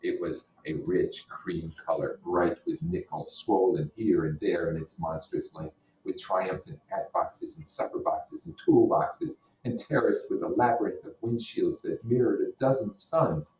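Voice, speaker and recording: Man, low pitch, read-aloud lesson, explanatory manner, distant mic, echoey room. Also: a little slow, a little mellow. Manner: explanatory, puts rhetorical questions to listeners. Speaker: teacher reading a novel aloud. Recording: roomy echo, distant microphone.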